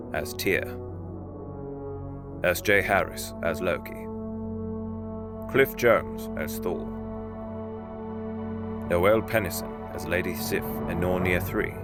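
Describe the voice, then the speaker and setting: A man reading scripted credits as an announcer. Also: sits low. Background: music.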